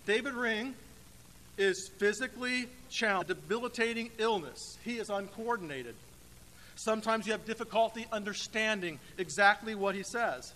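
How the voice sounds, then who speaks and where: A man delivers a sermon in a bright, forceful voice pitched high for a male speaker. He phrases deliberately and emphatically, leaving dramatic pauses. The church hall adds long reverb, with faint noise underneath. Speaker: preacher. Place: church sanctuary, indoors.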